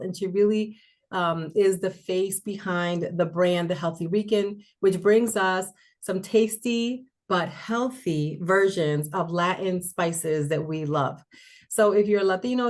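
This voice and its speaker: A woman wellness coach hosting an interview, chatting in a friendly way with some fillers. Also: mid-range voice. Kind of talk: casual interview.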